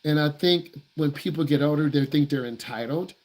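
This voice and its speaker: A man's voice: a little high, moderate pace. A man who works as a driving instructor answers viewer questions on a live stream in a blunt, emphatic way. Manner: blunt, emphatic, repeats himself for stress.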